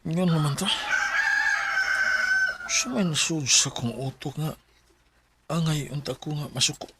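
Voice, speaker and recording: A man's voice: a little high, measured pace. An actor playing a brash, easily flustered young man in a Bisaya radio drama, with a Cebuano Filipino accent. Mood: uneasy. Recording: clearly noisy track with clicks.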